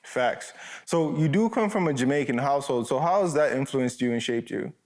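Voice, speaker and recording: Relaxed young interview host. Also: male voice, mid-range voice, a little fast. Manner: relaxed, filler-heavy, affirming "facts". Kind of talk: casual interview questions.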